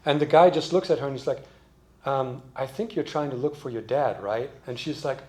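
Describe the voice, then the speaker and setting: A man's voice: medium pitch, brisk, warm tone, slight background noise. A middle-aged man speaking as a missionary at a church session, telling anecdotes in a casual, storytelling manner. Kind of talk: informal anecdotal talk.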